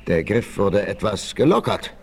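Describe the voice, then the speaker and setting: A man's voice: lowish voice, big pitch swings, measured pace, vocal fry, darkish tone, husky, heavily acted. A man narrating a 1960s German radio play in dramatic scripted storytelling. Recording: 1968 vinyl record, faint noise.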